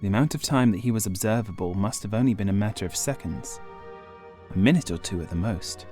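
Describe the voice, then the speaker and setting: A man reading a gothic horror audiobook in the first person, in a measured, formal storytelling manner. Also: fairly low pitch, darkish tone, faint music behind. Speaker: audiobook narrator.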